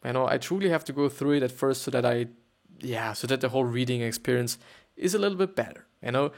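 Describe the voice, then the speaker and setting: A man's voice: medium pitch, gravelly. A man from Austria with a German accent, hosting a podcast in a hesitant, rambling way full of fillers and repeated words before he reads a story aloud. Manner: hesitant, repetitive, many fillers. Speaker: podcast host. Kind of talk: rambling casual monologue and reading aloud.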